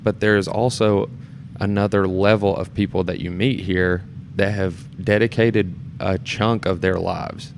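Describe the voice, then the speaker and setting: A man with a deep, low-pitched voice talks as a guest on a podcast. His delivery is informal and earnest, like a relaxed conversation. Background noise is clearly audible in the room. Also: measured pace. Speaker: podcast guest, theater performer and board member. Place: shared studio space in a theater.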